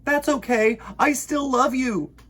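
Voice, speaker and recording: A man voicing a cheerful, affectionate storybook bear who calls out in slow, loud exclamations. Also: extremely high pitch, pitched above the performer's own voice, full character voice. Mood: eager, affectionate.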